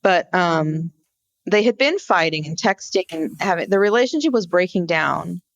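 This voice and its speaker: A woman co-hosting a podcast, explaining a case conversationally in an informal, halting storytelling way. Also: on the low side, animated, no room sound. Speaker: podcast co-host. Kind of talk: conversational true-crime case discussion.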